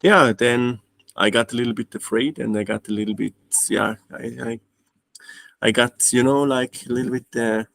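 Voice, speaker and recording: A man, pausing and restarting as he searches for words. His voice sits at an ordinary middle pitch. The recording is dry and close. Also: vocal fry, fairly bright tone, dry recording. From Austria. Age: 30s. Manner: hesitant, restarts often, non-native phrasing. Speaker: guest trading student. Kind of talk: casual interview.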